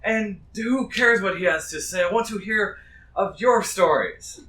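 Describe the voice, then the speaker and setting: A man's voice: high voice, unhurried, bright, forceful tone, pitched above the performer's own voice. A man playing a drunk, clingy, rambling older townsman who pleads and repeats himself. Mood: eager, nostalgic. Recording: roomy distant mic with noise.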